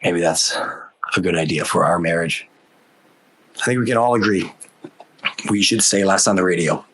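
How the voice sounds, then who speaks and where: A man's voice: low voice, normal speed, a bit bright, husky, low-quality recording. A man hosting an opinion podcast, ranting casually with exasperated sarcasm and repeating phrases for comic emphasis. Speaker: podcast host. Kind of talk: opinion rant, casual monologue.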